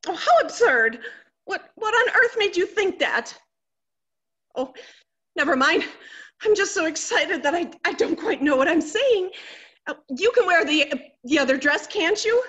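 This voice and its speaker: A woman's voice: very high voice, very animated, a little slow, bright, forceful tone, lo-fi sound, exaggerated voice. A middle-aged woman playing an anxious, melodramatic wife in a stage comedy, fretful and exclaiming. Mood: agitated. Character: anxious, melodramatic wife awaiting soldier husband's return. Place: indoor room, recorded over video call.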